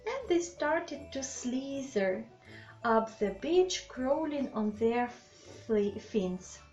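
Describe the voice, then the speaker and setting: A woman reading a children's bedtime story aloud in an expressive, deliberate way, with asides to young listeners. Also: on the high side. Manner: slow, deliberate, animated phrasing with questions to the child listeners. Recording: slightly dull, lo-fi sound with music.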